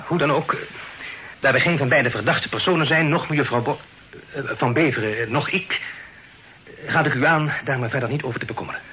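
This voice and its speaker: An actor playing a proper, guarded young gentleman with a formal, earnest manner in a Dutch radio play. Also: medium pitch, fairly quick, pauses often, natural voice. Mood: guarded, flustered. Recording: noisy old radio play recording.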